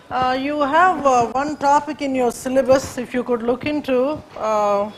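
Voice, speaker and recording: A woman's voice: sits high, steady tone, slow pace, a little hiss or hum, poor audio. A woman from India, whose first language is Urdu, gives a classroom lecture in Indian English, hesitant and full of fillers. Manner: hesitant, many fillers and restarts. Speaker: teacher.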